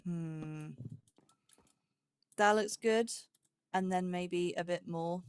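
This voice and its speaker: A woman hosts a let's play with casual commentary. She thinks out loud in short, broken phrases, playfully chatting to herself at a mid-range pitch. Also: drawn-out words, clean tone, dry recording, studio quality.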